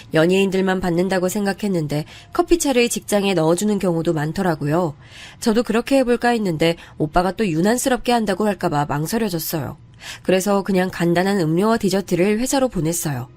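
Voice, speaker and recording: A woman's voice: medium pitch, darkish tone. A woman in her thirties narrating a first-person story in a confiding, conversational way.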